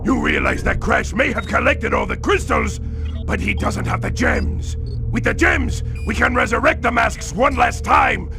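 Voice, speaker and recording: Shrieking, gravelly evil mask spirit. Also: male voice, very high voice, very animated, normal speed, music in the background, heavily acted. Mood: scheming anger. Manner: shouting, scolding. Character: furious evil floating mask spirit, bellowing and domineering.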